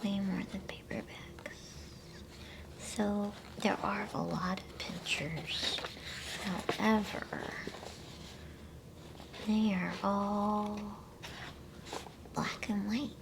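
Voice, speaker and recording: A woman whispering softly in a soothing, unhurried way, as an ASMR host reading bedtime stories and chatting to listeners. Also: medium pitch, little pitch movement, background noise. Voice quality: breathy whisper.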